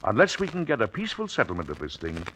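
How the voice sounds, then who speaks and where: Exasperated statesman president, formal, measured, forceful bright voice, vintage radio drama, faint hiss. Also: fairly low pitch, wildly swooping pitch, rough recording. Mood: grave, measured. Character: dignified, exasperated American president and father, middle-aged. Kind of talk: old-time radio drama acting.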